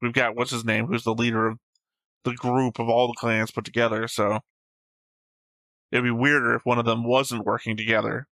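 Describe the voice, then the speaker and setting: A man hosts a casual reaction discussion in a bright, forward voice. His pitch stays fairly level and his delivery is matter-of-fact. The sound is dry, with little room on it. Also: little pitch movement, moderate pace, no room sound, low-quality recording. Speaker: reaction show host. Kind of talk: casual discussion of an animated series.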